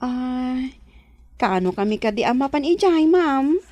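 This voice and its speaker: A respectful Ilocano household helper in a radio drama. Her voice sits a little high, and she speaks deferentially and inquisitively. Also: animated, a little slow, warm tone. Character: deferential, inquisitive Ilocano woman hired as companion.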